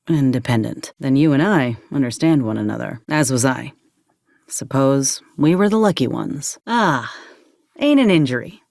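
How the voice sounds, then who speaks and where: Game companion: plain-spoken female ex-army medic, wary and folksy, mid pitch with lively swings, close dry recording. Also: no room sound, exaggerated voice. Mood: weary, rueful. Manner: folksy, drawling frontier speech with ain't and folk. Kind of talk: video game character dialogue.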